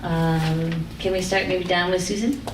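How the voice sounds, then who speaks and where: Low-voiced woman chairing a meeting. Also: steady tone, unhurried. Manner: hesitant, many fillers. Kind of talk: chairing a public community meeting. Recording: echoey room, distant mic, faint noise.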